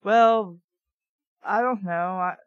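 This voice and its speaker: A woman's voice: mid-range voice, slow pace, dry recording, lo-fi sound. A woman reading a book aloud casually, with blunt, sweary, opinionated off-the-cuff commentary. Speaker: reader and commentator of a children's book.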